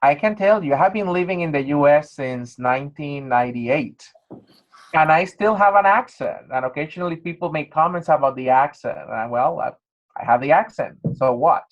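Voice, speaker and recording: A moderator leading a workshop discussion, affirming speakers and reflecting on their stories. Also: female voice, sits low, expressive, a little fast, muffled recording. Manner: affirming, reflective, many backchannels.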